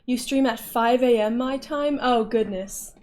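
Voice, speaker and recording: Casting: woman streamer, fairly high voice, chatty and playful, casual talk with her audience. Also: unhurried, darkish tone. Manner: chatty, playful, often laughing. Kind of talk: casual livestream chat reading viewer messages.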